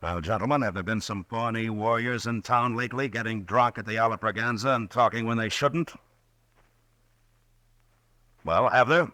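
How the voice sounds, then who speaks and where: An actor playing a calm, stern frontier marshal in a 1950s radio drama, speaking steadily and firmly. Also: male voice, lowish voice, a little fast, no echo. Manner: steady, level-headed, firm.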